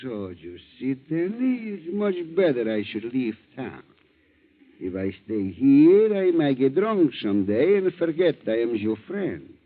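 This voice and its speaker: A man's voice: mid-range voice, very animated. Sly fisherman, tinny old radio. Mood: slyly menacing. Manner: broken English, insinuating, drawn-out threats. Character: sly, wheedling fisherman blackmailer, falsely friendly. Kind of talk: 1940s radio acting. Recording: tinny, narrow-band 1940s radio recording.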